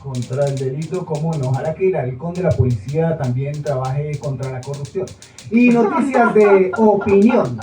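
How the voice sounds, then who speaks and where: Actor playing a sardonic radio co-host. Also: male voice, a little high, lively intonation, normal speed, darkish tone, faint music behind, close to an everyday voice. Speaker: radio show co-presenter. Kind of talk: stage acting of a radio broadcast. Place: small theater stage. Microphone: far camera. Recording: echoey room from far off.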